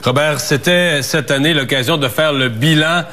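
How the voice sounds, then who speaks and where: A man's voice: a little high, normal speed, pushed and bright. A man from Quebec with a Quebec French accent, hosting a broadcast interview in an informal, colloquial way. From Quebec, Canada. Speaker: talk show host.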